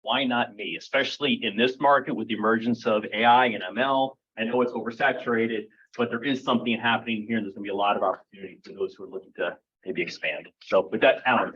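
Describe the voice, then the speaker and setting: A man's voice: lowish voice, fairly even intonation, fast talker, a bit bright, slightly rough. A man filling in as emcee, casually introducing the evening's speaker with many fillers. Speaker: stand-in emcee. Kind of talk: event introduction. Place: meeting room. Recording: dull lo-fi room recording.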